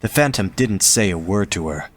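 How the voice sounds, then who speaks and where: Low-voiced, expressive male story narrator. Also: normal speed.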